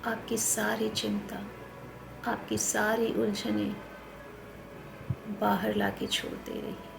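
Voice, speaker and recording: Slow Indian woman guiding a meditation. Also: medium pitch, creaky, music in the background, poor audio. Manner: calm, soothing, instructional. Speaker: meditation guide. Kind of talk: guided meditation in slow, spaced phrases. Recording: noticeable background noise.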